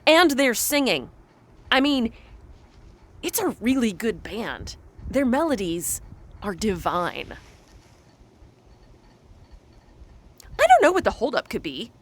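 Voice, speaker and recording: A woman playing an impatient soul kept waiting too long, measured and dryly exasperated in an audio drama monologue. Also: fairly high pitch, sing-song. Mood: irritated.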